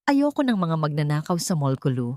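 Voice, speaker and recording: A cruel, wealthy woman boss from an audio story, acted out by a woman narrator. She speaks with cold contempt and gives orders as if nobody may refuse. The voice sits a little low and is closely, dryly recorded. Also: sing-song, a little fast, darkish tone, no room sound, clean studio sound. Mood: cold threatening. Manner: contemptuous, commanding. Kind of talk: dramatic Tagalog audio story dialogue.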